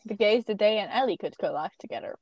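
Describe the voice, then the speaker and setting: A woman's voice: mid-range voice, expressive, a little mellow, husky, no room sound, dull audio. A teenage girl in casual, teasing chat with a friend, giggling often. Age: teens. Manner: giggly, teasing, quick banter. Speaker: co-host.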